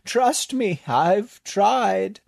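A shrill, raspy monster librarian with a voice pitched far up and a cackle in it. He gloats slyly at the doom he foretells, in slow, drawn-out words. It is a dry, close audiobook read. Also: expressive, a little mellow, dry recording, full character voice. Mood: gloating.

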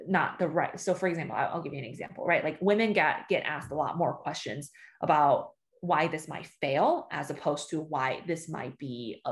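Low-voiced woman founder chatting casually. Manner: chatty, heavy with "you know" and "right?" fillers. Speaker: startup founder guest. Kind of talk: casual interview conversation.

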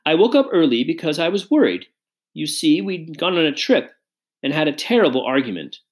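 A woman voicing a haughty, agitated mother in a storybook, with a sharp, imperious manner. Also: low voice, big pitch swings, fairly quick, voice pushed up. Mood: anxious, distraught. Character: haughty, frantic, shouting upper-class mother.

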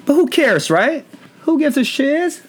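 A man's voice: very high-pitched, very animated, a little slow. A Filipino man, not a native English speaker, hosting a casual, rambling, self-deprecating chat show. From Philippines. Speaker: podcast host.

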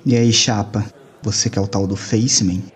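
A man's voice: fairly low pitch, steady tone, normal speed, lightly acted. A man acting in an audio drama as a jaded, slangy hacker loner in a cyberpunk city. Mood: cool, urgent. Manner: brooding, slangy. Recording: music behind the voice.

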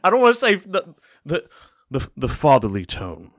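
A man's voice: a little high, huge pitch swings, normal speed. A man co-hosting a podcast in casual chat, stammering through restarts and laughing often. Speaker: podcast co-host.

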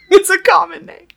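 A woman's voice: very high-pitched, vocal fry. A woman player in a tabletop roleplay show, chatting casually and bantering.

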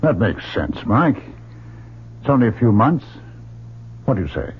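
A man's voice: mid-range voice, sing-song, measured pace. An actor playing a middle-aged doctor and father, skeptical and protective, in an old-time radio drama. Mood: firm. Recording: muffled old radio recording, faint noise.

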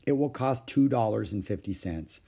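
A man voicing an understanding, courteous woman, a children's librarian in a storybook, polite and measured. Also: lowish voice, lively intonation, gravelly, warm tone, breathy edge, exaggerated voice. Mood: polite, regretful.

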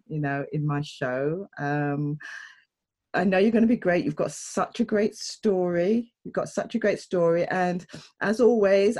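A woman's voice: fairly low pitch, a little mellow. A woman hosting an interview show, speaking in a chatty, effusive and encouraging conversational way.

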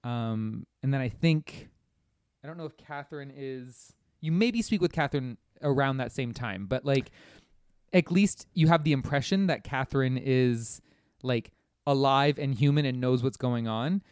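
A man's voice: mid-range voice, a little slow, darkish tone, smooth, no echo, studio quality. A man in casual podcast conversation, recounting things in detail as he talks about a video game. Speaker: podcast guest or host discussing a video game. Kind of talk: casual chat.

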